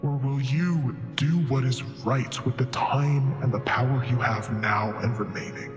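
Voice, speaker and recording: Man as slow, reverberant celestial beast. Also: mid-range voice, steady tone, lightly acted. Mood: gentle, grave. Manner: solemn, measured, oracular. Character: ancient, wise, benevolent celestial qilin. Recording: long reverb, music behind. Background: music.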